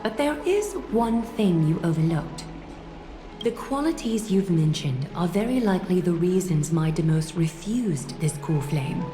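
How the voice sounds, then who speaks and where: A woman's voice: on the low side, expressive, unhurried. An actress playing a regal, composed leader, stately and formal yet motherly, in a video game drama. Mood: measured, probing. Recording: echoey, over background music.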